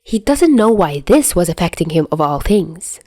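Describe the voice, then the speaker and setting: Female audio fiction narrator: lowish pitch, very animated delivery, dry close recording. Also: moderate pace, dry recording. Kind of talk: expressive scripted story narration.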